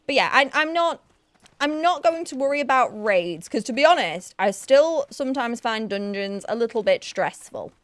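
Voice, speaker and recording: A woman's voice: a little high, expressive, moderate pace, no room sound. A young woman with a Yorkshire accent, chatting casually and thinking aloud while streaming an online game. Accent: Yorkshire English, with some Newcastle influence. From Yorkshire, England. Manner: chatty, thinks aloud, self-deprecating, frequent restarts.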